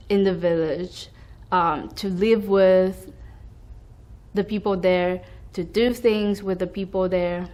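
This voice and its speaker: A young woman from Taiwan, a school staff member, reflecting on her identity in a hesitant, thoughtful way with many fillers. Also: medium pitch. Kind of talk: reflective personal testimony.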